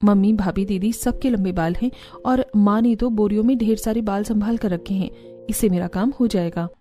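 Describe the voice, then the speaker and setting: A woman's voice: medium pitch, normal speed, warm tone, soft background music, lightly acted. A woman voicing an earnest, resourceful young village wife and mother in a Hindi cartoon story. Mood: hopeful, persuasive.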